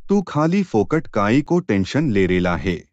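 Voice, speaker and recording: Man voicing a brash, generous genie, swooping pitch. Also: big pitch swings, measured pace, darkish tone, no room sound, lo-fi sound. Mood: reassuring. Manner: slangy, reassuring, boastful. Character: blue male forest genie, brash but generous helper.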